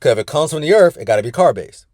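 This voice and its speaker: A man's voice: fairly high pitch, lively intonation, racing, darkish tone, no echo, studio quality. A man coaching a weight-loss group on a video call from his car, talking casually and often calling the group "y'all". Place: inside his parked car.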